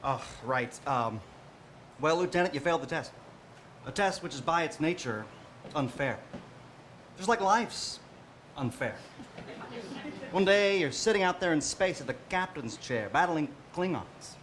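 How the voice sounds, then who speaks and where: Man playing a weary aging starship captain, higher-pitched. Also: lively intonation, a little slow, long pauses, a bit bright, slight background noise. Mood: distracted, glum. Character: weary, self-pitying aging male starship captain facing fifty. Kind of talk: musical theatre parody, staged reading.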